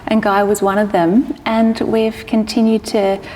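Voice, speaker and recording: An Australian woman from Sydney in her thirties, chatting as an interview guest and telling stories quickly with many fillers. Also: mid-range voice, little pitch movement, darkish tone, in a large hall, slight background noise. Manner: chatty, fast run-on storytelling with many "like"s. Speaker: guest, publicist and entrepreneur. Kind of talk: casual interview chat.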